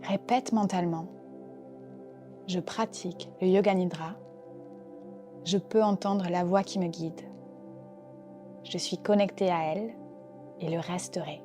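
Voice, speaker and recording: A woman with a soft, dark-toned voice leads a guided yoga nidra session. Her delivery is calm and gentle, giving slow, careful instructions. Faint music plays behind her. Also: lowish voice. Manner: calm, gentle, unhurried guiding. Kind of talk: guided relaxation meditation. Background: soft background music.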